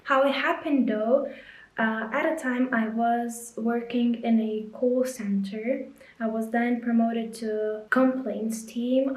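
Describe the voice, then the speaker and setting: Chatty Lithuanian-accented young woman, echoey room. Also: fairly high pitch. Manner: chatty, relaxed, with fillers like "uh" and "you know". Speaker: crochet and knitting creator. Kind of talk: casual vlog chat while crocheting.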